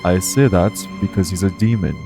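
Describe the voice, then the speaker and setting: A man playing a calm, protective guardian angel in an audio roleplay, speaking in a formal, measured, earnest way. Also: deep voice, music in the background. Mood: solemn, explaining. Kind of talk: audio roleplay acting, addressed to the listener.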